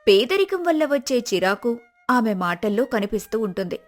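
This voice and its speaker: A woman's voice: fairly high pitch, very animated, moderate pace, soft background music. A woman storyteller narrating a scripted folk tale.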